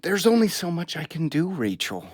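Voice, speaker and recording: A man playing a reserved middle-aged father and doctor in an audio play, husky and awkwardly halting. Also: a little high, big pitch swings, dry recording, clean studio sound. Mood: weary, touched.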